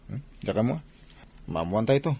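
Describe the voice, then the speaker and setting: A man's voice: lowish voice, sing-song, normal speed, vocal fry, dark tone, slightly rough, heavily acted. A man playing a practical, protective eldest brother in a radio drama, with an Ilocano Filipino accent. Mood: admonishing.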